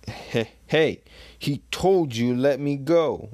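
A huge, greedy old pirate woman from a comic, put on by a man reading aloud. The delivery is slow and domineering, with a cackling edge. The recording is dry. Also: medium pitch, a little mellow, dry recording, voice pushed up, close to an everyday voice. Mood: demanding. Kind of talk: comic book read aloud in character.

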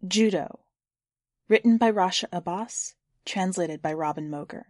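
A woman hosting a fiction podcast, introducing and then reading a short story in a measured, clear storytelling delivery. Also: on the low side, a little mellow, no room sound, studio quality. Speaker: podcast host and story reader.

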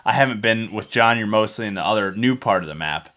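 A man's voice: lowish voice, lively intonation, fast, a bit bright. A man telling a story casually as a podcast co-host, rambling and swearing freely.

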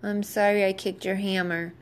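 A woman's voice: mid-range voice, little pitch movement, normal speed, natural voice. A woman voicing a polite but stubborn little boy in a children's story, speaking in simple, emphatic assertions.

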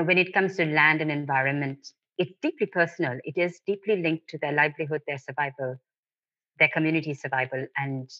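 A woman giving considered, deliberate interview answers, building her points with emphatic repetition, on a muffled lo-fi line. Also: deep voice, fairly bright tone, no echo. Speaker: interview guest, human rights advocate.

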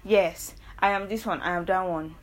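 This is a young woman with a Nigerian accent and a voice pitched a touch low. She talks in a loose, earnest monologue to her listeners. She often doubles back to rephrase herself. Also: steady tone, moderate pace, slight background noise. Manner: rambling, self-correcting, earnest and persuasive. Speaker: podcast host. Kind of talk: casual solo monologue.

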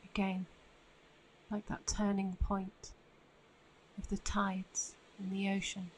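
A woman guiding a meditation in a soothing, unhurried manner with long pauses between phrases. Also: mid-range voice, monotone, a little hiss or hum, rough recording. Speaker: meditation guide. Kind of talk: guided meditation narration.

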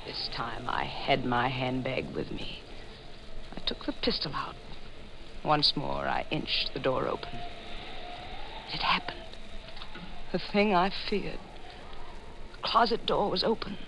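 An actress playing a reckless, passionate young woman killer who narrates her crime in an intense 1940s radio thriller style with dramatic pauses. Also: low-pitched, background noise. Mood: haunted, suspenseful. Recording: vintage 1940s radio recording with music.

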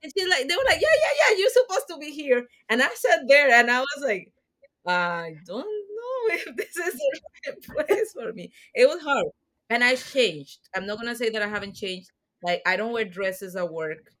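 A woman from Colombia, a podcast guest telling stories in a lively way and mixing Spanish and English. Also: a little high, moderate pace. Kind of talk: casual interview chat.

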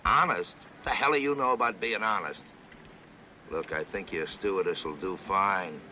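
Male, played by an actor, very low and piercingly forceful, gruff dismissive type from a seventies crime picture, dull noisy film audio. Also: normal speed. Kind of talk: 1970s film dialogue. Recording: muffled, noisy old film soundtrack.

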